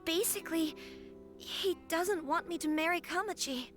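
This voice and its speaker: A woman voicing a polite, earnest young noblewoman in a game, speaking formally, gently and with some hesitation. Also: very high-pitched, moderate pace, soft background music. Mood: serious. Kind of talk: scripted game dialogue.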